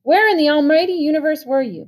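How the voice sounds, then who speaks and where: A woman reading the part of a furious older brother who shouts and scolds. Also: on the high side, quick pace, natural voice. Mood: furious scolding. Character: older brother, angry stern young man.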